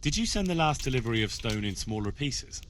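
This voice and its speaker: A man's voice: mid-range voice, very animated, brisk, a little hiss or hum, lightly acted. An actor playing an earnest, inquisitive young medieval man in video game dialogue, polite and plain-spoken. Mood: polite, probing.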